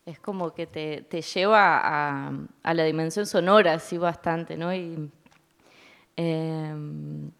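A woman's voice: low-pitched, steady tone, slow pace, vocal fry, clear, resonant tone. A woman moderating a literary talk, putting questions in an unscripted, conversational way. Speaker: interviewer, moderator. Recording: echoey hall reverb.

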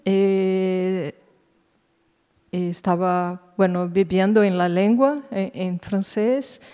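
A woman, a native Portuguese speaker, talking unscripted as a guest author, haltingly searching for words. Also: medium pitch, fairly even intonation, mellow, clean tone. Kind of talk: unscripted talk at a literary event. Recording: echoey hall reverb.

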